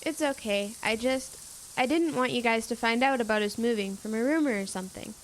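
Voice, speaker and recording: A young female performer playing a sincere, reluctant preteen girl who is gentle with friends in an audio drama. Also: fairly high pitch, fairly quick, a little hiss or hum, lightly acted, rough recording. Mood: sad, earnest. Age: child. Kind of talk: youth audio drama acting.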